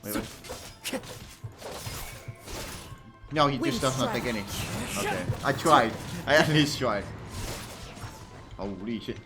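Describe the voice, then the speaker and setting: A man's voice: medium pitch, takes it slowly, long pauses, over music. A man streaming a game with casual commentary, full of blunt, profane, muttered asides.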